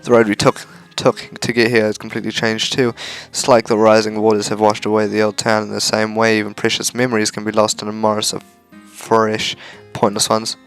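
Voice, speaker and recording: A man's voice: lowish voice, completely flat delivery, a little fast, hardly any pauses, smooth. A man reading a visual novel aloud as narration for a gaming video. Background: faint music.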